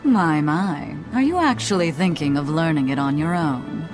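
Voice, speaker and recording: A woman's voice: sits low, lively intonation, normal speed, music in the background. An actress playing a sly, wry, mysterious woman in a video game, with a haughty, teasing drawl. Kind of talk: English game voice acting.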